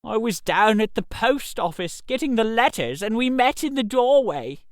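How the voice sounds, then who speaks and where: A man voicing a gloomy, wounded young gentleman in love, with a hollow, drawn-out, mournful delivery. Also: very high-pitched, expressive, no room sound, clean studio sound.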